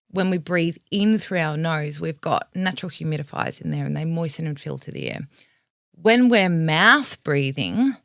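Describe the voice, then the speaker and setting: A woman's voice: low-pitched, gravelly, no room sound, clean studio sound. A woman vocal coach with an Australian accent, explaining vocal health in a frank, teacherly way and swearing casually.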